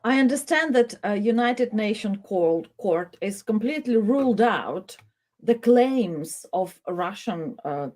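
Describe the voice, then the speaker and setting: A woman hosting a news interview, her voice at a middle pitch. She moves haltingly through her questions, with plenty of hesitations and restarts. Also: animated, a little mellow. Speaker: news host. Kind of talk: interviewing a guest.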